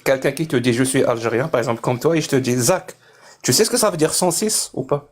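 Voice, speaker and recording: A man from the Maghreb in an online voice debate, deliberately provocative and challenging, teasing the others. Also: medium pitch, animated.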